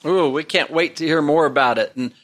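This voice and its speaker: A man's voice: fairly high pitch, fairly quick, fairly bright tone. A man hosting an interview, asking questions in an effusive, enthusiastic way. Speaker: host. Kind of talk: interview host.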